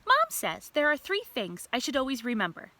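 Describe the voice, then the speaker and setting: A woman's voice: high voice, huge pitch swings, normal speed, bright, forceful tone, heavily acted. A woman voicing a young, mischievous earthworm from a storybook, telling his diary with childlike earnestness. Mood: earnest. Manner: earnest, childlike, simple diary-style statements.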